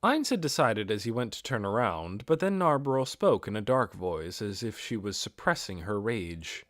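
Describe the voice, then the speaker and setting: Animated man reading a fantasy audiobook. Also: on the low side, normal speed, dry recording, clean studio sound. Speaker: audiobook reader. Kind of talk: scripted narration of a fantasy novel.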